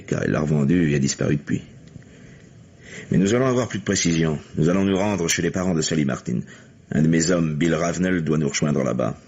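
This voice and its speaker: Earnest detective, very low creaky voice, deliberate delivery, radio drama, muffled vintage sound. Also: male voice, darkish tone, heavily acted. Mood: matter-of-fact. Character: earnest, methodical New York police lieutenant. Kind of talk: French mystery radio play acting.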